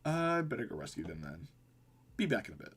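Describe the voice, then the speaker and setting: A man as a gentle, worried mentor. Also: fairly low pitch, big pitch swings, fast, husky, heavily acted. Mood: subdued. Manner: soft-spoken, earnest, apologetic. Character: gentle, worried older mentor and best friend, male. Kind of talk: visual novel dialogue read aloud.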